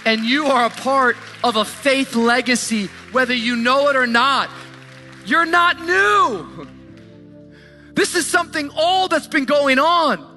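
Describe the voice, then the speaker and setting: A man's voice: extremely high pitch, lively intonation, slow pace, pushed and bright, soft background music. A man preaching an impassioned motivational sermon, emphatic and declamatory, repeating phrases for effect in colloquial language.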